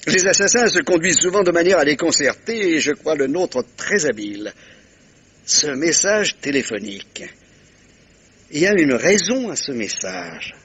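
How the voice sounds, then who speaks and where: Radio mystery detective: high-pitched for a man, husky, pompous and courteous, savouring every pause. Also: expressive, fairly bright tone. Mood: probing, courteous. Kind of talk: vintage French radio mystery acting.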